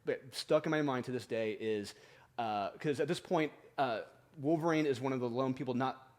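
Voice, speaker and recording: A man, a podcast guest who recalls things in a relaxed, thoughtful way with small hesitations. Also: medium pitch, normal speed. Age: 30s. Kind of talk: casual chat.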